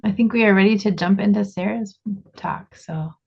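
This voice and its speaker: A woman's voice: medium pitch, moderate pace, vocal fry, breathy edge. A woman hosting a webinar, moderating speakers and running polls over a video call in an upbeat way. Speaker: webinar host. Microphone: computer mic on a video call. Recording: dull lo-fi call audio.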